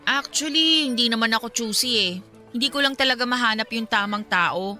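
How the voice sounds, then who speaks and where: A woman's voice: on the high side, fairly quick, sharp tone, soft background music. A woman playing a single young woman secretly pining for her friend in a Filipino radio drama, speaking in a casual, teasing, colloquial way. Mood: wistful. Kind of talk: Tagalog radio drama dialogue.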